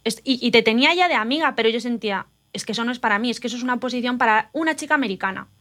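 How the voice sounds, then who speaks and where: A woman from Spain, telling personal stories in an animated, confiding casual chat. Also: fairly high pitch, racing.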